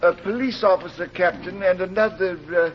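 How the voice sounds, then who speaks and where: A formal butler played by a man, stiff and deferential, with a rather high voice. He sounds like vintage radio drama, dull and a little noisy. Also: fairly even intonation, normal speed, natural voice. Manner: stiff, polite announcing. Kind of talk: old-time radio crime drama acting.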